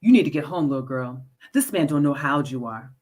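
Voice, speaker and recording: A woman's voice: low-pitched, animated, brisk, darkish tone, distant mic. A woman voicing a jealous, demanding young woman who snaps out commands in a story reading. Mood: scornful. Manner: snapping, commanding.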